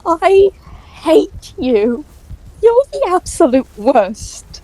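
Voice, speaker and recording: A woman playing a bitter, grief-stricken young nobleman with a halting, intense delivery in an audio drama. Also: very high-pitched, big pitch swings, dark tone, full character voice, poor audio. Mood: distraught, furious.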